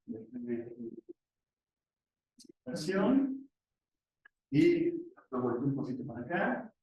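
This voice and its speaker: A man with a fairly high voice presents research at an academic conference. He explains step by step, often putting questions to the listeners and answering them. The room is very reverberant and the microphone sits away from him. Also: dull audio. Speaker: academic economist presenting a paper. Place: echoey conference session room. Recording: very echoey room, distant microphone.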